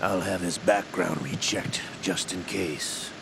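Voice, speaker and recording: An actor playing a composed older villainous intelligence officer in a video game, gravelly, measured and deliberate in speech. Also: male voice, sits low, fairly even intonation, full character voice. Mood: measured, businesslike. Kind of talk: video game cutscene acting. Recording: noisy vehicle-like ambience behind.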